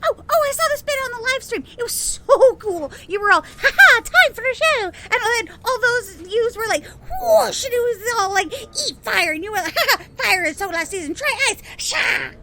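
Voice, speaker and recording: Extremely high, giddy young sidekick. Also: female voice, animated, normal speed, few pauses, noisy background. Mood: giddy excitement. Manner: gushing, breathlessly enthusiastic. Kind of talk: audio drama acting.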